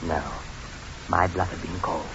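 An actor playing a gallant, courteous English spy adventurer, measured and dramatic in old-time radio drama style. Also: male voice, low voice, little pitch movement, creaky, a bit bright. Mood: tense, reflective. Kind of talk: old-time radio drama acting, first-person narration. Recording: dull, hissy old radio recording.

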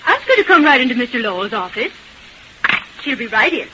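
An actress playing a polite, deferential and efficient office secretary, a little hesitant, in an old radio drama. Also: female voice, on the high side, big pitch swings, brisk, sharp tone. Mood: businesslike. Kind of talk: 1930s-40s radio drama acting. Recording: old noisy, muffled radio recording.

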